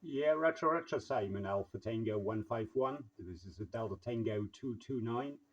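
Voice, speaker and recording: A man with a husky voice, chatting casually over radio in halting, English. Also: medium pitch, slight background noise. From Germany. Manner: halting, non-native English phrasing. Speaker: amateur radio operator and video maker. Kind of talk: casual radio contact chat. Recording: slightly dull, lo-fi sound.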